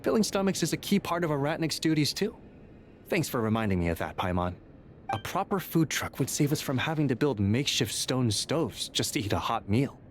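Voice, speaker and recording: An actor playing an earnest, dutiful and friendly young squad leader in a video game. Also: male voice, mid-range voice, sing-song, brisk, slight background noise, close to an everyday voice. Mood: warm, hopeful. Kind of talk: video game character dialogue.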